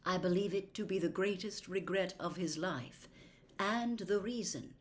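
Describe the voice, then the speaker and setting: Lady doing an old wizard headmaster from a storybook, hushed n calm, stern, formal, takes his time. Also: lowish voice, slight background noise, lightly acted. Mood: grave regret. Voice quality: quiet, hushed. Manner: measured, formal, grave.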